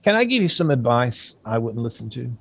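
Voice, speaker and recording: A man at a screenplay table read playing a formal, condescending doctor with veiled menace and a measured, guarded delivery. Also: medium pitch, big pitch swings, gravelly. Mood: veiled warning.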